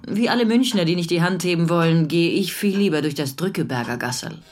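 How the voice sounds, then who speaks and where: A woman's voice: lowish voice, fairly quick, noisy background, lightly acted. An actress playing a composed, stubborn middle-aged English noblewoman and mother, speaking matter-of-factly. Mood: composed, dry.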